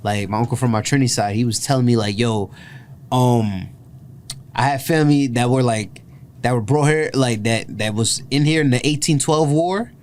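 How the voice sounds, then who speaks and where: A man's voice: medium pitch, normal speed, darkish tone, slight background noise. A man hosting a casual reaction chat, rambling and filling his speech with "like" and "yo". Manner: rambling, heavy with "like" and "yo", restarts often. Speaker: host.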